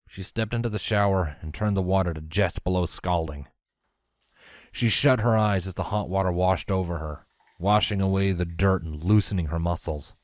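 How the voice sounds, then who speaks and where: A man's voice: sits low, darkish tone, no echo, studio quality. A man reading scripted story narration as the narrator of a fan fiction tale.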